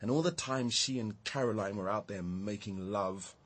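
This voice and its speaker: A man's voice: fairly low pitch, normal speed, a bit bright. An actor playing a bitter, grieving, muddled man in a naturalistic radio drama, brooding and emotional. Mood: bitter, hurt.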